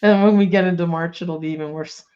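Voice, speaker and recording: A woman's voice: fairly low pitch, fairly even intonation, quick pace, lo-fi sound. Chatty woman with a slight cold. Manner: chatty, bubbly, lots of likes and ums. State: coming down with a cold. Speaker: toy news podcast co-host. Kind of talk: casual chat.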